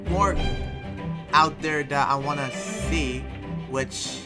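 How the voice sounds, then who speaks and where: A man's voice: on the high side, steady tone, slow, loud music behind the voice. A man playing an earnest, conflicted demon slayer researcher in an improvised anime game roleplay, thinking aloud in a hesitant, rambling way. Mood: pensive, resolved.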